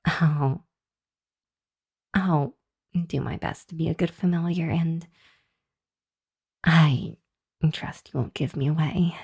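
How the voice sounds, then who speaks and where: A woman voicing a shy, earnest young hellhound demon girl in an ASMR roleplay, speaking to the listener in a hesitant, flustered, halting way. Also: sits low, fairly even intonation, vocal fry, a little mellow, husky, exaggerated voice. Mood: sincere, grateful. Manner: hesitant, flustered, halting with sighs and nervous chuckles.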